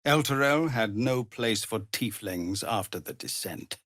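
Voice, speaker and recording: A weary tiefling commander of middle age for a video game. He speaks slowly and formally, with grave honour and a burden of guilt. The recording is dry and close. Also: lowish voice, lively intonation, fairly bright tone, no room sound. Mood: weary, urgent. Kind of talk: video game dramatic voice acting.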